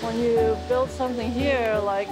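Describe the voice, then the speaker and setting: A woman's voice: fairly high pitch, steady tone, unhurried, rough recording. A young woman, an architect raised in Los Angeles, talking reflectively outdoors in an interview. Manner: reflective, with frequent fillers. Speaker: resident artist and architect. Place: outdoors on a farm. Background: background music.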